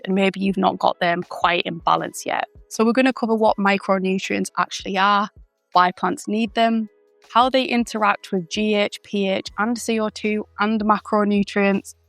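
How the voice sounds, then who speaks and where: A woman who is unwell and croaky, explaining a topic in a chatty, informal way as the host of a channel. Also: mid-range voice, a bit bright, dry recording. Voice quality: gravelly. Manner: chatty, informal, with fillers and self-corrections. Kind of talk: educational explainer.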